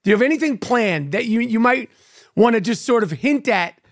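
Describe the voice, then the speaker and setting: A man's voice: very high-pitched, lively intonation, brisk, no echo, clean studio sound. A brash, profane man in his fifties with a New York accent, hosting a casual podcast and making mock demands. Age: 50s. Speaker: podcast host.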